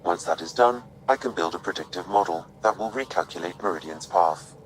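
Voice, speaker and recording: A man playing a calm artificial intelligence in a video game, measured, precise and formal. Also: low-pitched, slight background noise, close to an everyday voice, low-quality recording. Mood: calm, analytical. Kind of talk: video game cutscene acting.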